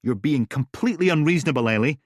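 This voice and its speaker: A man's voice: fairly high pitch, lively intonation, fast, dry recording, clean studio sound, voice pushed up. A male narrator voicing an exasperated divorced father in an audiobook, pleading and frustrated. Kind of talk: audiobook character voice.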